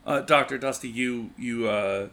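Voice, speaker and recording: A man improvising tabletop game narration as game master, in a halting, wordy, descriptive manner. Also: mid-range voice, fairly bright tone.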